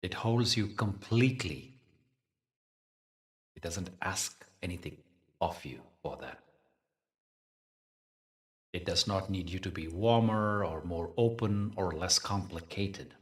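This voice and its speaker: A man with a low-pitched voice guides a meditation very slowly and deliberately. He leaves pauses between phrases in a soothing, teacherly way. The microphone sounds some distance away.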